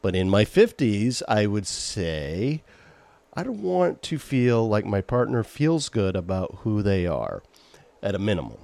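Middle-aged guy from maine talking on his podcast, low voice that swings all over, dry close sound. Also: very animated, unhurried, creaky, a little mellow, dry recording. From Maine, USA. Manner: chatty, rambling, reads listener messages aloud. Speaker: podcast host. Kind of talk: casual solo podcast talk.

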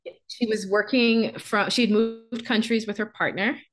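A woman's voice: medium pitch, steady tone, a little slow, over music. A woman from the Bahamas talks through her story as a podcast guest, earnest and reflective. Her voice sits in an ordinary middle register, and she breaks into laughter easily. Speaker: business coach.

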